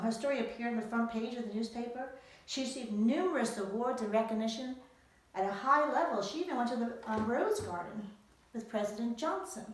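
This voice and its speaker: A woman author giving an emphatic storytelling talk to young readers, posing rhetorical questions. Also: fairly high pitch. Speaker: author presenting own book to young readers. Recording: very echoey room, distant from microphone.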